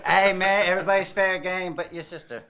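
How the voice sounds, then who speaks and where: A man's voice: high-pitched, steady tone, fairly quick, a crowd in the background. An American man in his forties, a comedian guest trading casual, bawdy banter. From South Florida, United States. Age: 40s.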